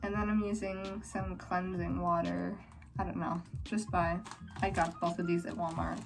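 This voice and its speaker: Chatty teen girl vlogger, casual and rambling, close phone mic, soft music underneath. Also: lowish voice, level tone, moderate pace, creaky, rough recording. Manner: chatty, rambling, lots of "like" fillers. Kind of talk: casual day-in-the-life vlog. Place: small bedroom and home, indoors. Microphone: phone camera held close.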